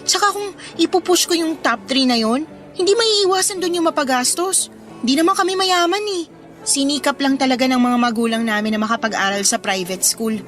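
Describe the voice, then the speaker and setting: A woman's voice: high voice, fairly quick, fairly bright tone. A woman playing a modest, self-doubting teenage schoolgirl in a Filipino radio drama, speaking in an earnest, conversational, pleading way with a Filipino accent. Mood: defensive, pleading. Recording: music under the dialogue.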